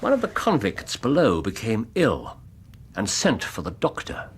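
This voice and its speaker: An actor playing an incisive Victorian detective in a radio drama, with an English accent, recounting a case in precise, theatrical phrasing. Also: male voice, lowish voice, huge pitch swings, measured pace, slightly rough, full character voice. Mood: grave, precise. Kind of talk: period radio drama acting, storytelling.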